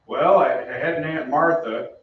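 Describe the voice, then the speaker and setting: A man's voice: on the high side, moderate pace, slight background noise, close to an everyday voice. A man playing a brash young American soldier in an old-time radio play, with breezy, slangy delivery. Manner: breezy, slangy, hesitant in bursts. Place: theatre stage. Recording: very echoey stage, distant mic, muffled.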